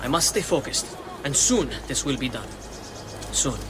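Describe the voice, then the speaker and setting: An actor playing a paranoid, jittery conspirator who is being hunted, nervous, in a video game. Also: male voice, mid-range voice, expressive, normal speed, lightly acted. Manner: nervous, muttering to himself. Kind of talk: dramatic video game cutscene acting. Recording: very loud music, outdoor ambience behind.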